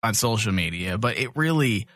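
A man with a bright, forceful voice hosts a casual fan podcast discussion. He speaks bluntly and swears freely, and the sound is close and dry. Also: on the low side, animated, moderate pace, no echo. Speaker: podcast host.

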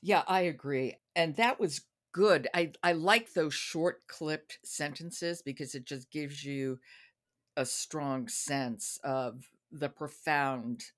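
Low-voiced woman podcast host. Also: slow. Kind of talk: conversational interviewing.

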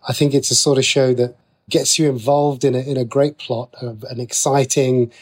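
Reflective British man, medium pitch, being interviewed. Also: level tone, moderate pace, breathy edge. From Slough, England. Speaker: interview guest, TV and radio writer. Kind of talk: conversational interview.

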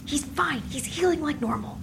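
A tense, defensive adventuring woman, played by an actress in a fantasy audio drama. She hisses in a high, swinging voice. It sounds roomy, with noisy ambience behind her. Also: expressive, normal speed, vocal fry, exaggerated voice. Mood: defensive, alarmed. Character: tense female adventurer hissing at her cursed sword.

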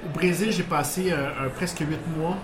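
A man's voice: a little high, normal speed. Man in an echoey bar, Quebec French. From Portuguese background, living in Quebec. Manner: rambling, many restarts, chuckling. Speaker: guest. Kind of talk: casual storytelling interview. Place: bar with live audience. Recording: echoey bar with background noise.

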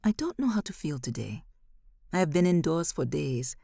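A woman's voice: deep voice, big pitch swings, normal speed, gravelly, mellow, no room sound, clean studio sound. A woman reading a first-person short story as scripted narration.

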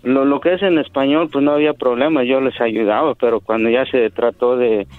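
A man's voice: medium pitch, fairly even intonation, fairly quick, dry recording, soft background music. A middle-aged man from Mexico, a native Zapotec speaker, telling his life story as a radio guest, earnest and hesitant, often repeating words. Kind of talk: radio phone interview. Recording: phone line over radio.

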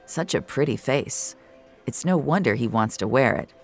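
First-person story narrator, wry, colloquial, creaky voice, faint music underneath. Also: mid-range voice, expressive, moderate pace. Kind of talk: first-person scripted narration of audio fiction.

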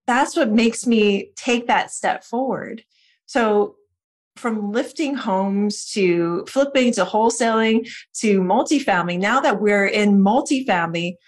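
Woman podcast guest: passionate, emphatic conversational talk, very unhurried delivery, mid-pitched voice. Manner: emphatic, builds points in repeated phrases. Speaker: guest on a real estate podcast.

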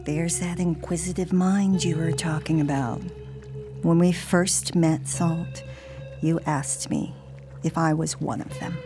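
Low, slow-talking sly monster woman. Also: fairly even intonation. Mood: sly, teasing. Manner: taunting, confiding. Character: sly secret half-breed flesh-eating monster woman, wry and hungry. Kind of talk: radio horror drama acting. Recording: music under the voice.

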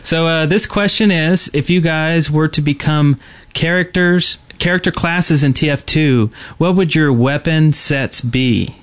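A man's voice: fairly high pitch, measured pace, few pauses. A man co-hosting a podcast, speaking in casual chat about video games. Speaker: podcast co-host.

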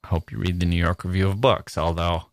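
Wry man, low-pitched and dry-miked. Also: fairly even intonation, a little fast, no room sound. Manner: wry, amused, musing aloud with rhetorical questions. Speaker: podcast host. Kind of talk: humorous solo commentary reading out personal ads.

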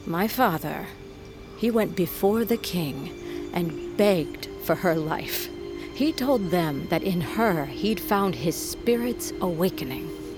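An actress playing a solemn, grieving middle-aged mother in a fantasy audio drama, speaking in a measured, weighty storytelling way. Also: on the low side. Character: grieving, solemn mother, proud wolf queen's daughter.

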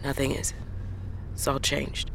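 An actress playing a battered, sick woman truck driver, talking in a weary, halting, blunt way in a naturalistic audio drama. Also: extremely low pitch, completely flat delivery, gravelly, noisy background, heavily acted. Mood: weary, defiant. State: sick, worn out.

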